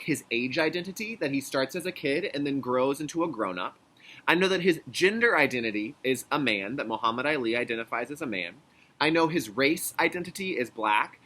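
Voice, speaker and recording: Man, kindergarten teacher, bright voice, husky edge, animated pitch, emphatic storybook read-aloud, instructional asides. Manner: clear instructional phrasing with check-in questions; expressive, emphatic storybook reading. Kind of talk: children's read-aloud lesson with explanatory asides.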